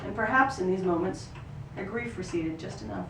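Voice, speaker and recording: A woman's voice: medium pitch, normal speed, a bit bright. Distant, echoey woman reading fiction aloud. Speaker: actor reading a book excerpt. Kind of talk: live literary reading, third-person narration. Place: live reading in a roomy venue. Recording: roomy, distant microphone, noisy ambience.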